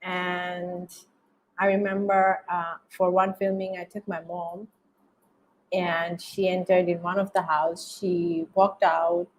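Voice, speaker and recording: Slow-spoken Bangladeshi woman, lowish voice. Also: flat delivery, poor audio. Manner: halting, searching for words, often repeats phrases. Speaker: interview guest, documentary filmmaker. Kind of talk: reflective interview answers.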